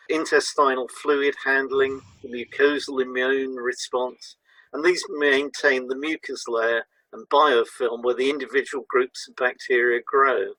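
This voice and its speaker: Man, co-presenter, bright firm tone, mid-range pitch, formal scripted teaching, measured reading, dry sound. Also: dry recording, low-quality recording.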